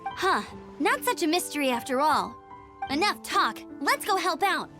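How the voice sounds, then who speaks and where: A woman playing a perky, eager young scout in a video game, speaking briskly and helpfully. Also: high voice, very animated, over loud music. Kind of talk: video game character acting.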